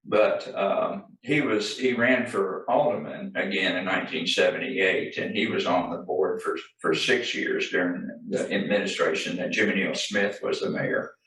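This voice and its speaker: Older man, deep, hesitant panel guest, distant mic in a reverberant room. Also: fairly bright tone. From Arlington, Virginia. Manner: halting, many fillers and restarts. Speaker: guest, former town administrator. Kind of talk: casual panel conversation. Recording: echoey room from far off.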